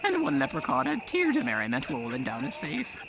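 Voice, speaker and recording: A man's voice: a little high, huge pitch swings, brisk, creaky, noisy background. A man narrating a folk tale as a dramatic storyteller.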